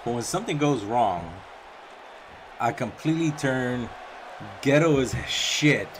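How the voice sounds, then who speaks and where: A young man doing play-by-play commentary over a wrestling video game, chatty and casual between calls and getting excited on the big moves. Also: medium pitch, a little slow, background noise. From Puerto Rican background. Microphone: home microphone, voice-over on game footage.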